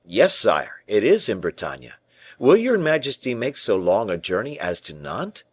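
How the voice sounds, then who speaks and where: A seasoned musketeer captain from a classic adventure story, as a male reader plays him, giving cool, measured replies with quiet respect. His voice sits low and steady. The recording is close and dry. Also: slightly rough, no echo, full character voice.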